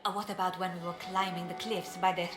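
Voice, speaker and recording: A woman playing an excitable, gossipy young noblewoman, a younger sister, in a video game. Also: fairly low pitch, fast talker, natural voice. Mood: mischievous. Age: young adult. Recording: roomy echo under music.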